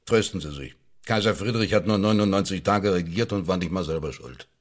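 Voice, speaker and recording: A man's voice: low-pitched, brisk. An actor playing a hard-nosed, condescending newspaper publisher who threatens his employee in a German radio drama. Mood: cold, condescending. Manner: condescending, domineering.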